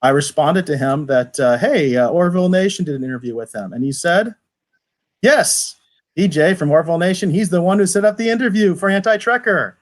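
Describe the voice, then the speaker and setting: A man's voice: on the high side, brisk. A man co-hosting a livestream in a casual, conversational style. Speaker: podcast co-host. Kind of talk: casual livestream chat, reading viewer comments.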